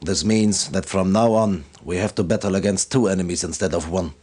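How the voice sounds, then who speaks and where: An actor playing a stern, devout military commander who gives solemn, formal and deliberate briefings in a video game. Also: male voice, deep voice, a little mellow. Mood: grave, measured.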